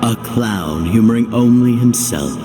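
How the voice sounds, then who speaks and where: An actor playing a sinister godlike entity of despair, speaking in grandiose, mocking oratory in a video game. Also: male voice, lowish voice, lively intonation, unhurried. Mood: mocking, accusing. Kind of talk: theatrical video game villain acting. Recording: loud music under the voice.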